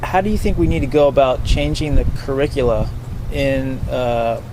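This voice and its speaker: A man running a relaxed dockside interview, medium-pitched, with wind and boats audible. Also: moderate pace. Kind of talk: informal unscripted interview. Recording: clear wind and boat noise.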